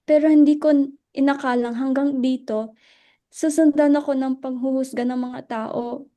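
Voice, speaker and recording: A woman in a dramatic reading playing an anguished, worn-out wife who pleads earnestly and haltingly. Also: high voice, steady tone, mellow, lightly acted.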